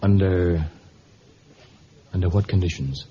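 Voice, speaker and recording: A man acting an earnest, polite jungle hero in a vintage radio drama, asking questions in a halting way. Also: deep voice, warm tone. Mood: halting, intent. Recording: muffled, hissy old radio recording.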